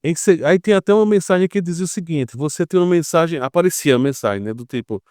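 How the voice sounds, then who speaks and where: A man's voice: fairly high pitch, animated, fast talker, a little mellow, dry recording, studio quality. A Brazilian man giving informal explanatory commentary in Brazilian Portuguese, conversational, often posing rhetorical questions and checking in with short tags. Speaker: geopolitics commentator.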